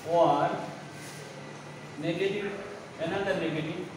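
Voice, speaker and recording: A man, teaching a grammar lesson slowly and repeating phrases as he writes on the board. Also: high voice, steady tone. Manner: slow, repeats phrases while writing on a board. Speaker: school English teacher. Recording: echoey room, distant mic, background noise.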